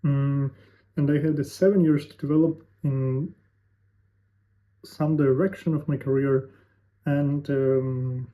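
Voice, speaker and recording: A man's voice: mid-range voice, level tone. Musing young man, tinny lo-fi sound. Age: 20s. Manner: musing, halting, thinking aloud with fillers. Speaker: vlogger. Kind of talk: unscripted talking to camera.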